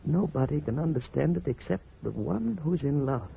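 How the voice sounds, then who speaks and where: An actor playing an aging blind husband, devoted yet superstitious and suspicious, in a theatrical, emphatic vintage radio drama style. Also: male voice, a little high, lively intonation, moderate pace, vocal fry. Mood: wistful, devoted. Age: older. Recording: muffled, noisy old radio recording.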